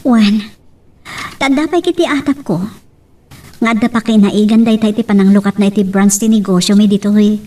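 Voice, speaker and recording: A woman with an Ilocano accent playing a gentle young sweetheart in a radio drama. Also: medium pitch, normal speed, long pauses, distant mic, natural voice. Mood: hesitant.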